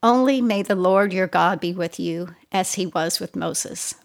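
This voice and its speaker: A woman reading scripture aloud in a solemn, measured, deliberate way. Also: lowish voice, creaky, dry recording, studio quality. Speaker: scripture reader.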